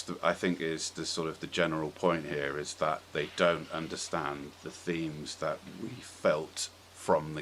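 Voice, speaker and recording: A man's voice: low voice, a bit bright. A man talks casually as a podcast host. He thinks aloud and hedges his points. There is noticeable noise in the recording. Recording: clear background noise.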